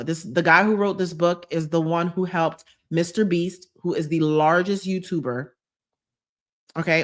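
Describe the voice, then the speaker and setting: A woman's voice: on the low side, a bit bright. A young woman from New Jersey, a YouTube creator, chatting on a live stream and reading comments, emphatic and repeating her advice. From United States, New Jersey.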